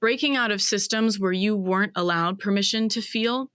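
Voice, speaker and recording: Woman, 20s, interview guest; smooth, close dry sound, reflective and searching delivery. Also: medium pitch, normal speed, fairly bright tone, dry recording. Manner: thoughtful, searching, with many fillers and restarts.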